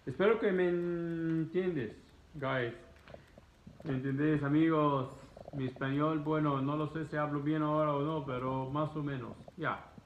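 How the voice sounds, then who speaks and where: A man's voice: fairly high pitch, unhurried, slight background noise. A man in his thirties from Egypt who speaks Spanish as a second language, talking casually as a travel vlogger and answering viewer questions from his living room. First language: Arabic. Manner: animated, gesturing, Spanish learned as a second language. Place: living room at home, indoors. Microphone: phone camera at arm's length. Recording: tinny phone-like sound in an echoey room.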